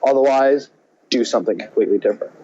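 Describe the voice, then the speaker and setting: A man's voice: medium pitch, fast, dark tone, breathy edge, dull audio. A man co-hosting a podcast in casual chat, rambling and opinionated as he goes. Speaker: podcast co-host.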